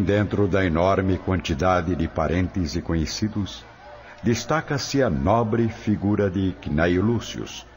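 A man narrates a scripted audio drama in a low, deep voice. His pitch moves expressively from phrase to phrase, over faint ambient noise. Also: darkish tone.

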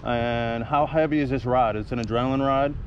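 A man's voice: medium pitch, fairly quick, lo-fi sound. A middle-aged man chatting casually and colloquially to camera in a laid-back vlog style, outdoors on the water. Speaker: vlogger, kayak angler. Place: outdoors on a kayak on open water. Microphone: action camera at about arm's length. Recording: loud wind and water noise.

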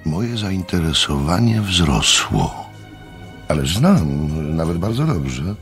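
A measured storyteller in a radio play. His voice sits extremely low, with a gravelly creak. He recounts his tale with literary care and mild scepticism. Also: very animated, soft background music, exaggerated voice. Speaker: narrator.

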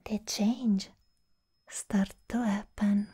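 A young woman performing a hushed, whispered ASMR roleplay as a sweetly possessive living doll, pausing deliberately, close to the microphone. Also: medium pitch, steady tone. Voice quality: breathy whisper. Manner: slow, hushed, deliberate, with long pauses.

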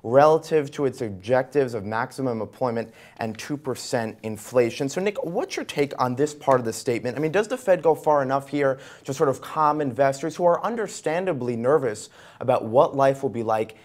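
A man's voice: mid-range voice, expressive, fairly quick, darkish tone. Young American man hosting financial news. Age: young adult. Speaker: news host and interviewer. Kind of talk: broadcast financial interview. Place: TV news studio. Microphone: lapel mic.

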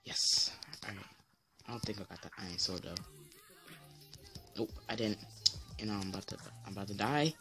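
A teenage boy with a deep voice giving casual gameplay commentary as channel host, rambling with many fillers and restarts. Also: normal speed, long pauses, over music.

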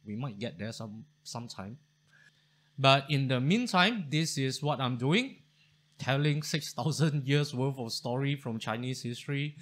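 A man. He speaks warmly and loosely, with frequent ums and restarts. He is recorded close up on a studio mic in a home study. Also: mid-range voice, measured pace. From Singapore. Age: 40s. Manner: chatty, thankful, many fillers and restarts. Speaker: history channel host. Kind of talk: casual talk to camera.

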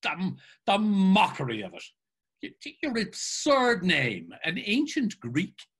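An older man on a video call voicing a mock-solemn, theatrical young jester with a booming, husky voice. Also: huge pitch swings, slow pace, fairly bright tone, exaggerated voice. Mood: teasing. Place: home room, video call. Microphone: webcam on video call.

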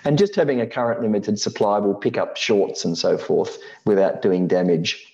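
A middle-aged man presents a technical tutorial in a measured, practical manner. His voice sits fairly low and is very soft-edged and dark in tone. The recording is dull and lo-fi, lacking top end. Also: vocal fry. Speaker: presenter of an electronics building tutorial. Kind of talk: explanatory slide talk.

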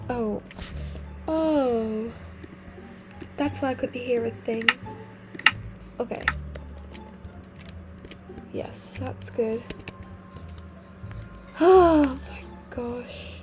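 A teenage girl with a slightly croaky, sick-sounding voice, chatting casually over her gameplay and exclaiming at things she finds. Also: a little high, drawn-out words, long pauses, dark tone. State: croaky voice from a cold. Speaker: gaming video host. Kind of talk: casual game commentary. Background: faint game music.

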